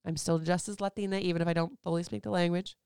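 A woman's voice: on the low side, steady tone, quick pace, darkish tone, smooth, no echo, clean studio sound. A woman in her thirties from Florida, chatting candidly as a host answering listener questions, rambling with lots of "like"s. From Florida, USA. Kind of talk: casual chat.

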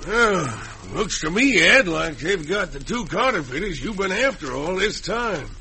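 An actor playing a folksy, excitable Old West sheriff in a radio drama, with an American western twang. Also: male voice, high voice, unhurried, slightly rough, full character voice. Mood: astonished. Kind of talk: 1950s radio western acting. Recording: old radio broadcast recording.

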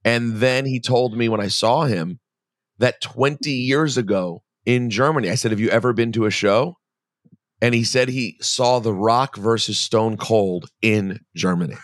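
A man's voice: mid-range voice, a little slow, dry recording, studio quality. A middle-aged man hosting a podcast, telling a rambling story in casual chat, often cutting himself off and laughing. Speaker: podcast host.

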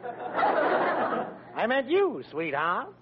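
An actor playing a breezy, smug young rival suitor, glib and teasing, in an old-time radio comedy. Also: male voice, extremely high pitch, wildly swooping pitch, extremely slow, over a noisy crowd, exaggerated voice. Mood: jaunty, mocking. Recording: muffled old radio recording, faint noise.

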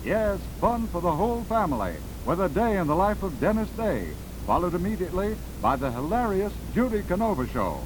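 American male announcer, 1940s radio pitchman, high voice, noisy vintage broadcast recording. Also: very animated, a little fast, hardly any pauses.

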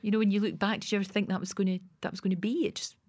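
A woman hosting a podcast, asking warmly encouraging questions with halting restarts. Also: mid-range voice, flat delivery, no room sound, clean studio sound. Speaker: podcast host. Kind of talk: casual interview chat. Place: podcast studio.

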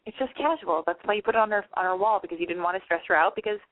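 A woman's voice: mid-range voice, rapid-fire, phone-quality sound. A woman hosting a call-in advice show, giving chatty, emphatic big-sister advice. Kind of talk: casual advice call-in chat.

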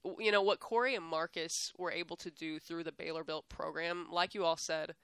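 A woman's voice: on the low side, steady tone, fairly quick, fairly bright tone, smooth, no room sound. A woman in her thirties from San Antonio, talking as an interview guest in an earnest, upbeat way, laughing often and repeating words as she goes. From San Antonio, Texas. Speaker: guest, athletics staff director. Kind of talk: casual interview chat.

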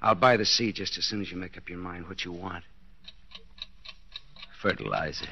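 An actor playing a desperate, guilt-ridden husband in a 1940s radio suspense drama, stammering and repeating himself breathlessly. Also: male voice, low voice, gravelly, bright, forceful tone, heavily acted. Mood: evasive, then bitterly confessing. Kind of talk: 1940s radio suspense acting, interior monologue. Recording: noisy, dull 1940s radio recording.

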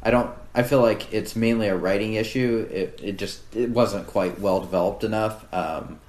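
Low-voiced man in an echoey room. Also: darkish tone. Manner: measured, hedging. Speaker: podcast co-host. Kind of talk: casual film-review chat.

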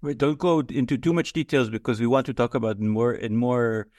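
A man's voice: mid-range voice, fairly even intonation, fairly quick, a little mellow, no room sound, studio quality. A man hosting a podcast, asking questions politely and a little hesitantly. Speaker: podcast host. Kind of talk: interview hosting.

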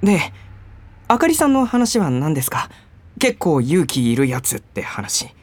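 A woman's voice: deep voice, very animated, unhurried, a little mellow, dry recording, full character voice. A woman acting in a radio drama as an earnest young male junior coworker, polite and boyish, with a teasing side. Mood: serious, sincere.

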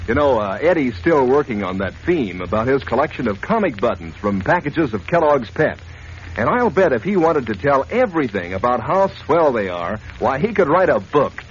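A man working as a radio announcer, delivering a folksy, enthusiastic 1940s advertising pitch. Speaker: commercial announcer. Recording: dull, noisy old radio recording.

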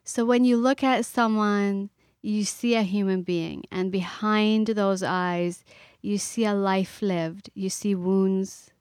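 A middle-aged woman from Iran, a guest speaking earnestly and thoughtfully with pauses and fillers. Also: medium pitch, fairly even intonation, darkish tone, no echo, clean studio sound. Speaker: author and guest. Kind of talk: reflective interview talk.

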